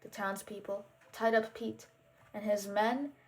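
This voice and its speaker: A woman reading a children's storybook aloud in a deliberate, expressive way, as the host. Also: medium pitch, faint music behind, rough recording. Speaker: storybook reader and channel host. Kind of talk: storybook read-aloud narration.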